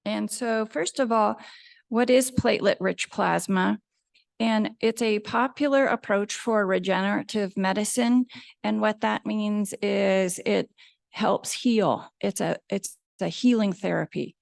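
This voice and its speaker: A woman in her fifties giving a lecture as a dermatologist, measured and explanatory with frequent ums. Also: medium pitch, gravelly, dry recording, studio quality. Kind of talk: lecture presentation. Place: lecture hall with live audience.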